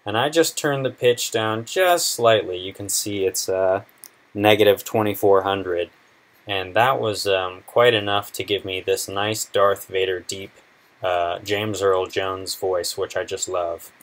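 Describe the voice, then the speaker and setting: Low-voiced young man, casual tutorial. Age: 20s. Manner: informal, unscripted, halting explanation. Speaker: video tutorial presenter. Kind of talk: casual screen-recorded software tutorial.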